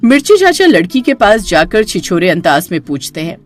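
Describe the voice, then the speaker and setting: A woman's voice: lowish voice, sing-song, normal speed, soft background music. A woman narrator reading a scripted horror story.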